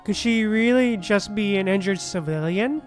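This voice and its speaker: A woman's voice: mid-range voice, normal speed. A woman streamer giving casual, off-the-cuff reactions while she plays a video game. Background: soft game music.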